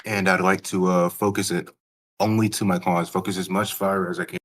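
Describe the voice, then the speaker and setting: A man playing a tabletop game, chatting casually and haltingly as he thinks aloud. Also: deep voice, flat delivery, background noise.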